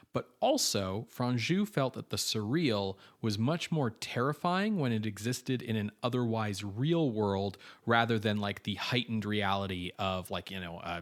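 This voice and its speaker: Low-voiced man reading film research. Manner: informative, frequent "like" fillers. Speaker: podcast co-host. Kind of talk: casual chat reading research notes.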